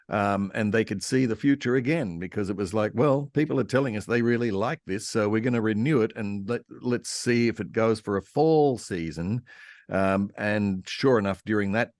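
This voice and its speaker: Low-pitched man explaining, conversational. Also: moderate pace, a little mellow, dry recording, clean studio sound. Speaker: historian, interview guest. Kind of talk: conversational panel discussion.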